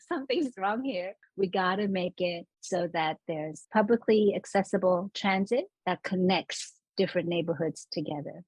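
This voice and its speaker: A woman scholar from Los Angeles explaining urban planning in a casual interview, animated and laughing now and then. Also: medium pitch, no echo, low-quality recording.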